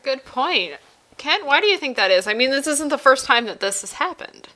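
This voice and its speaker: Lively woman steering a wrestling podcast. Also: high voice, moderate pace. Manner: steering the talk, asks questions. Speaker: podcast host. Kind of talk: hosting casual wrestling chat.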